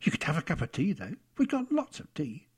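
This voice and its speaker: A man's voice: a little high, huge pitch swings, brisk, darkish tone, slightly rough, voice pushed up, exaggerated voice. A man voicing a kindly, chatty café lady in a story, with a familiar, homely manner. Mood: kindly, brisk. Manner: familiar, calls the customer love.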